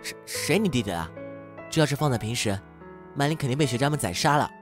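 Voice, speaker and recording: An earnest teenage schoolboy played by a man in a campus radio drama. His delivery is lively and swings widely, easily flustered, with music underneath. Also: mid-range voice, fast talker, lightly acted. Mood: defiant. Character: earnest, flustered high-school boy striving to improve. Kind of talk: amateur campus audio drama acting.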